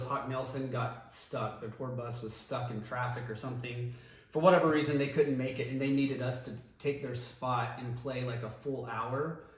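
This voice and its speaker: A man, a former band singer, reminiscing casually in an interview, relaxed and rambling with many ums and restarts. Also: mid-range voice. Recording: echoey room, voice from a distance, faint noise.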